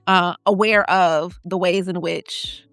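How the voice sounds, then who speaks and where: A woman hosts a podcast interview, building her questions aloud in a searching way. She speaks slowly, with a smooth, clear voice. The sound is dry and close. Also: on the low side, steady tone, fairly bright tone, no room sound, soft background music.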